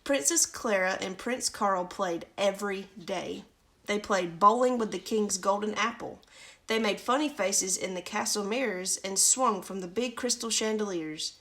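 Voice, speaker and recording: A woman's voice: mid-range voice, a little slow, fairly bright tone. Woman reading a storybook aloud. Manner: chatty asides to viewers. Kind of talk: casual live reading of a children's storybook.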